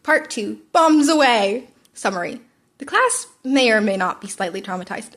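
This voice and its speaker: A woman's voice: a little high, expressive, normal speed, fairly bright tone. A woman reading fan fiction aloud as an informal story narrator.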